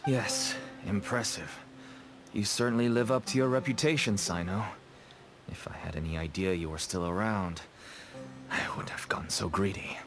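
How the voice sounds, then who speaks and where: A man's voice: low-pitched, moderate pace. An actor playing a nervous, ingratiating merchant father with a shady trade in a video game. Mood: apologetic. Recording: game music behind the voice.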